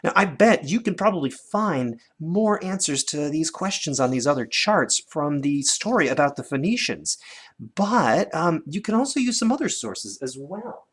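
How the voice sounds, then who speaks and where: A man's voice: fairly high pitch, sing-song, moderate pace, a bit bright, breathy edge. A man with a North American accent teaching children in a conversational way, guiding them with questions as he demonstrates. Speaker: teacher presenting a lesson. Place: indoors at a desk, hands-on demonstration filmed from above.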